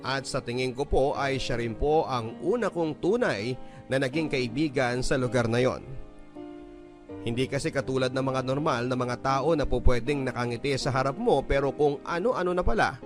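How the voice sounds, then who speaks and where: A man narrating a listener's letter in an earnest, measured storytelling manner for a radio drama. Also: mid-range voice. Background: guitar music.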